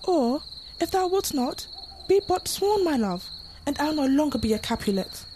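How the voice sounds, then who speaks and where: A woman's voice: high-pitched, big pitch swings, moderate pace, noisy background. A young woman acting a passionate, anxious girl in love, delivering Shakespearean verse earnestly in an audio play. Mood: longing. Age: young adult. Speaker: student actor.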